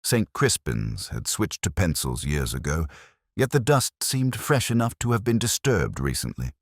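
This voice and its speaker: Very deep male mystery narrator. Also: very animated, normal speed, dry recording, clean studio sound. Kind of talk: scripted first-person detective story narration.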